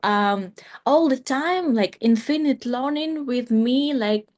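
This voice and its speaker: A woman's voice: sits high, slow pace, a little mellow. A woman in her thirties from Ukraine with a Ukrainian accent, a guest telling her story in a casual interview, full of fillers and restarts. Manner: many "like" fillers and restarts, non-native grammar. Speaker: guest, company founder.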